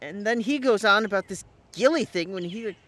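A performer playing an anxious, exasperated boy at summer camp in an audio drama, with a halting, youthful delivery. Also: medium pitch, a bit bright, lightly acted. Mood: annoyed, hurt. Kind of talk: naturalistic audio drama acting.

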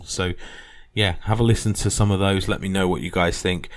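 A man's voice: sits low, steady tone, fairly quick. A man hosting a history podcast, talking casually and earnestly with plenty of fillers and restarts. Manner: rambling, earnest, many fillers.

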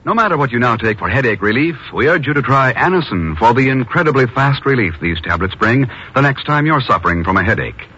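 A man's voice: medium pitch, lively intonation, a little fast, few pauses, sharp tone, slightly rough. An American man announcing in a polished, scripted 1950s radio style. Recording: dull vintage radio, faint music.

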